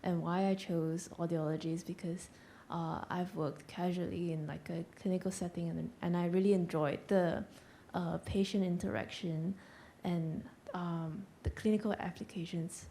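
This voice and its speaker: A woman introduces herself in a casual, slightly hesitant way. Her voice is low, mellow and gravelly. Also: steady tone, moderate pace, a little hiss or hum. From Malaysia. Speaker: student.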